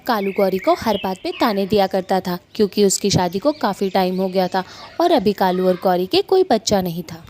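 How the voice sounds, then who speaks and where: A woman narrating a cartoon story in a plain, steady way. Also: mid-range voice, normal speed, slight background noise.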